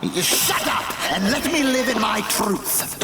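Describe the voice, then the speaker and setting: An actor playing a pompous, defiant self-styled intellectual in an audio drama, declaiming grandly. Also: male voice, high-pitched, expressive, slow, reverberant, very noisy. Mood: pompous defiance. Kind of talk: audio fiction acting.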